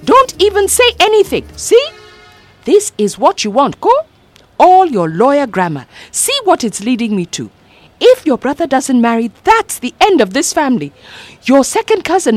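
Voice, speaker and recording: A fierce, imperious older mother who scolds in a shrill, high, swooping voice, as a woman reader puts her on. She sounds proud and furious, with soft music faintly under her. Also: no echo.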